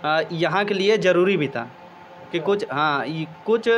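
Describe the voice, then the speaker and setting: Young guy talking real slow, lots of pauses, slightly high voice. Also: muffled recording, slight background noise. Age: young adult. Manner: hesitant, many fillers and restarts. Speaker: law student interviewee. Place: outdoors in a village.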